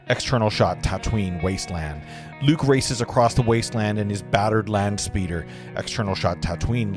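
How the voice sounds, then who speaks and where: Low-voiced man narrating over guitar music. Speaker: narrator at a script table read. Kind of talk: reading screenplay stage directions aloud.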